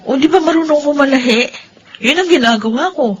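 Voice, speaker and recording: A woman's voice: sits high, animated, a little fast, a little hiss or hum. A woman playing a practical, encouraging young adult elder sister in radio drama dialogue. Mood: chatty, encouraging.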